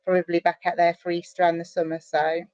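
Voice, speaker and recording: A chatty, animated middle-aged woman from the UK talks as a guest over a video call, her sentences tumbling out with restarts and fillers. The sound is close and dry, a little dull and lo-fi. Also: fairly low pitch, quick pace, no echo. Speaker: guest, online marketer. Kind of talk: casual interview chat.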